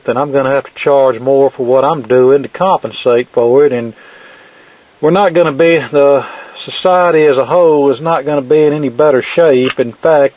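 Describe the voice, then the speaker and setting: A man's voice: mid-range voice, no room sound. Folksy man on a thin, phone-like recording. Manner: folksy, plainspoken. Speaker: radio repair video host. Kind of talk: casual hands-on repair narration. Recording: thin phone-like sound, faint noise.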